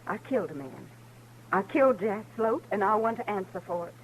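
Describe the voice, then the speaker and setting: An actress with a rural American accent playing a weary, dignified frontier mother in a radio western, earnest, folksy and a little halting. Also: medium pitch, lively intonation, close to an everyday voice. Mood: solemn, resolute. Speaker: radio actor. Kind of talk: old-time radio western acting. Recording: noisy vintage radio recording with hum.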